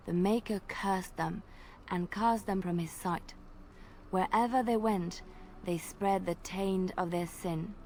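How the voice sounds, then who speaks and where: A gentle bard storyteller. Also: female voice, lowish voice, slight background noise, low-quality recording. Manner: measured, lilting storytelling. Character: graceful female bard, gentle devout storyteller. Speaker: game character. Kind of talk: voiced game dialogue.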